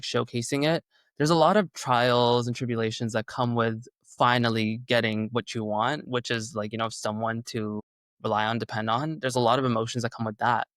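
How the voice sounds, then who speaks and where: A man's voice: mid-range voice, fairly bright tone, clear, resonant tone, no echo. A young man, a singer whose first language is Urdu, talking in a rambling casual way with many fillers. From Canada. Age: young adult. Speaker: singer-songwriter guest. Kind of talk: casual interview chat.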